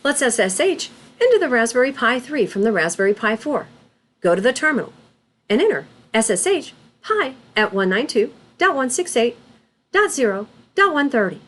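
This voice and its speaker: A woman with a fairly high voice gives scripted tutorial narration. Her pitch moves in a very lively way while she instructs deliberately, step by step, as a teacher recording a voice-over. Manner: deliberate, instructional, spells out terms letter by letter.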